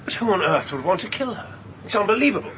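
A brusque young British gentleman, quick to defend himself in a radio play. His voice is forceful and swoops about in pitch, with faint noise behind it. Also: sing-song, heavily acted. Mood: alarmed. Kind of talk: radio drama acting.

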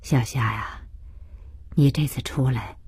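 Audiobook role: kindly older father played by a woman, breathy and low, gently inquisitive. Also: slow pace, no room sound, exaggerated voice. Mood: gentle, inquiring. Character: Xiaoxi's father, a kindly, curious older man.

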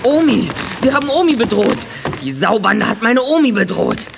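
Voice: medium pitch, sing-song, normal speed, vocal fry, noisy background. An actor playing a frantic, worried boy who speaks urgently in a children's radio play. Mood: distraught. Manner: urgent, agitated. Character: frantic, worried boy fearing for his granny. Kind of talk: 1980s German children's radio play acting.

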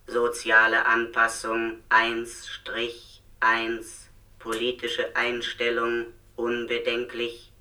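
A man's voice: medium pitch, completely flat delivery, takes it slowly, bright, forceful tone, distant mic, rough recording. A man playing an emotionless robot speaker in a German radio play, reciting data files in a clipped, mechanical way. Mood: impassive, mechanical.

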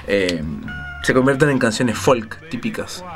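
A man's voice: mid-range voice, a little slow. A man with a Rioplatense Spanish accent presents a music show, explaining things in a casual, digressive way as if thinking aloud.

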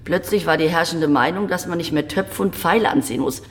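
Actress playing jaded museum boss lady in a radio play, lowish voice, dry and matter-of-fact, echoey room. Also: brisk, close to an everyday voice. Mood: melancholic, bitter. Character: blunt, disillusioned female museum director explaining her collection. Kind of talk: German radio drama dialogue. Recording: roomy, echoing archive-like acoustic.

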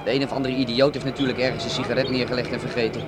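A man's voice: medium pitch, flat delivery, racing, very noisy, natural voice. An actor playing a dismissive, down-to-earth sceptical man in a radio drama. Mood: scornful.

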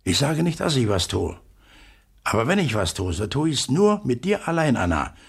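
An actor playing a stern, stubborn middle-aged father in a radio drama, terse and authoritative, with a husky, gravelly voice. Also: medium pitch, sing-song, normal speed, heavily acted. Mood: firm, defiant.

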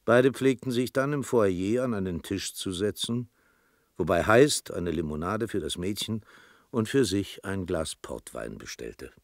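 An actor playing a reflective storyteller, narrating a radio drama in a measured, thoughtful, literary way. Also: male voice, deep voice, animated, dry recording. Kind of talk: scripted radio play narration.

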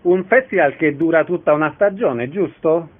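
A man's voice: on the high side, normal speed. A man hosting a radio show, announcing events and interviewing guests. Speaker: radio host. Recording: tinny phone-like radio sound, clear noise.

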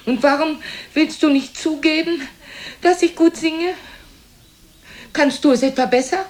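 Haughty, high-voiced old stage mother. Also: measured pace. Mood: needling, proud. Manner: theatrical, haughty, needling. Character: proud, reproachful old mother, faded singer and actress. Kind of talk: German radio play acting.

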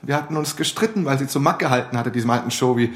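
A man's voice: medium pitch, very fast, close to an everyday voice. An actor playing a defensive young academic man in a radio drama, clipped and evasive. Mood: evasive, irritated.

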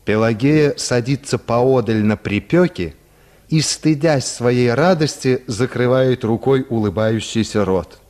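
A man narrating a literary short story for a radio drama in an expressive style. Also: medium pitch, measured pace.

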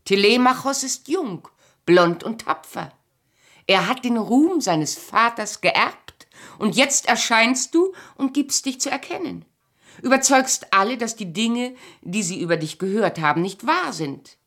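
An actress in a German radio drama playing a bitter yet tender aging wife of a homecoming hero. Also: female voice, on the high side, animated, measured pace, fairly bright tone, full character voice. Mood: scornful reproach.

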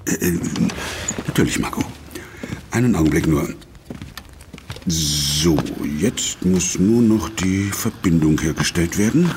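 Imperious corporate boss, smug and formal, lowish voice, very varied pitch. Audio drama. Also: male voice, expressive, a little slow, pauses often, background noise. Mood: flustered, deferential. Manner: smug, formal, hesitant before superiors. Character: imperious corporate executive, curt to staff, obsequious to superiors. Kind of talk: German audio drama acting.

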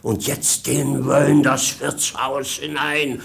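Gravelly, droll vagabond servant. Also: male voice, medium pitch, flat delivery, slow, fairly bright tone, heavily acted. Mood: mock-philosophical. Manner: theatrical, mock-pathetic declaiming. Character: droll male servant, a boisterous mock-philosophical vagabond. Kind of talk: 1950s German radio drama acting.

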